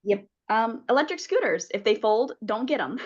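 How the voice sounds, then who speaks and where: A woman's voice: on the high side, lively intonation, moderate pace. A woman in her twenties, an actor, chatting casually about personal experience. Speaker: actor taking part in a table read. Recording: dull, lo-fi call audio.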